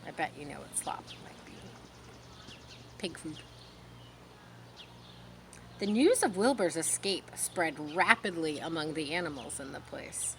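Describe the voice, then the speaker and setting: A woman reading a children's story aloud expressively, pausing to explain words in simple asides. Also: low-pitched, expressive, background noise. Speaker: storybook reader.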